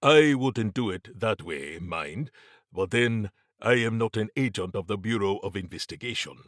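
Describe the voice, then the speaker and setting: A man voicing a grave, courteous, learned occult scholar in an audiobook, speaking in a formal, measured, portentous way. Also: on the low side, lively intonation, a bit bright, no echo, studio quality. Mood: wry. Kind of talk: audiobook character dialogue.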